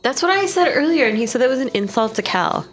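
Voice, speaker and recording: A woman's voice: sits high, sing-song, fast, faint music behind. A woman playing a tabletop roleplaying game, speaking in casual in-character banter.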